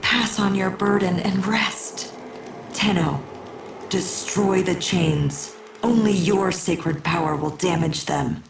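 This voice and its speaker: Ritual priestess, game character, solemn, ceremonial, echoing and distant, music behind. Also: female voice, lowish voice, takes it slowly, creaky, noisy background, exaggerated voice. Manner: ceremonial, grave, incantatory. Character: solemn female mystic guide presiding over a sacred rite. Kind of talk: scripted video game acting.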